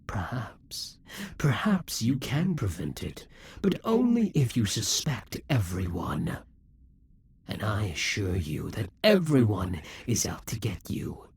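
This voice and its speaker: A man playing a vengeful, defeated demon king in an audio roleplay, taunting and grandiose, with a husky, breathy voice that often drops to whispers. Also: lowish voice, big pitch swings, no echo, exaggerated voice. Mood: gloating menace. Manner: grandiose, menacing, deliberate dramatic delivery. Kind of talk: scripted audio roleplay monologue.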